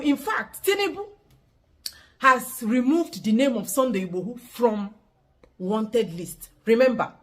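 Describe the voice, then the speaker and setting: A woman with a Nigerian accent presenting news to her viewers in a preachy tone, slipping into Pidgin at times. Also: on the high side. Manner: preachy greeting, slips into Pidgin. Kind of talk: news-style address to viewers.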